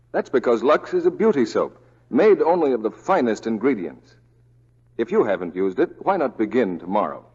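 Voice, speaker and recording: A man's voice: medium pitch, darkish tone, husky. A man announcing in a polished, emphatic, scripted 1940s radio advertising style. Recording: muffled, reverberant vintage radio recording.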